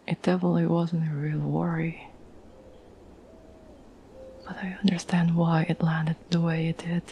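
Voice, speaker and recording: A woman playing a caring, patient girlfriend in an ASMR roleplay, speaking in a soft, breathy whisper with a gentle, reassuring manner. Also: lowish voice, a little hiss or hum. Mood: apologetic, tender. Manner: gentle, slow, soothing, reassuring.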